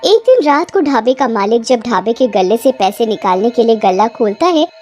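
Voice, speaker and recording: Lively, high-voiced woman storyteller over music. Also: moderate pace. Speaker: narrator. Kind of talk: scripted storybook narration for a cartoon. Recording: music behind the voice.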